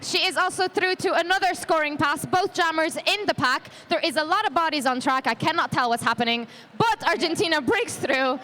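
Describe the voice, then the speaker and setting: A woman's voice: sits high, a little fast, few pauses, pushed and bright. A woman doing live roller derby commentary in a chatty, joking way, with a faint arena hum behind her. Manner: jokey, chatty, laughs often. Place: leisure centre arena.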